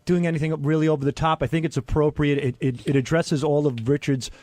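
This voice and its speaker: Man, somewhat high pitch, defensive, crude, casual radio talk, dry studio sound. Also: steady tone, fast, darkish tone, dry recording. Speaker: show regular telling his side. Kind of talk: candid casual radio banter. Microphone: close studio radio mic.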